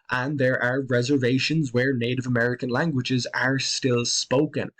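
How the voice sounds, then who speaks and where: An Irish man narrating an educational piece about the night sky, his voice sitting at an ordinary middle pitch. He talks in a calm, explanatory, conversational way. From Ireland. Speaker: astronomy presenter.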